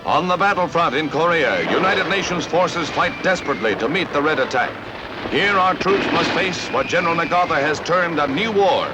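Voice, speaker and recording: A vintage 1950 newsreel soundtrack carries loud music under an American man's narration. His voice is a bit high, and he announces in a dramatic, urgent scripted style. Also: expressive, normal speed, rough recording.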